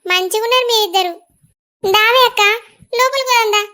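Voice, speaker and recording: A woman plays a devoted younger sister from a village family drama. Her voice is very high and bright, with a Telangana accent and an affectionate, pleading delivery. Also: brisk. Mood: welcoming. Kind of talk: rural family drama acting.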